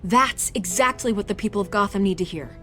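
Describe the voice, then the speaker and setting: An actress playing a confident, persuasive newspaper reporter in a video game, assured and coaxing. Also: female voice, mid-range voice, fairly quick, a bit bright, faint music behind, natural voice.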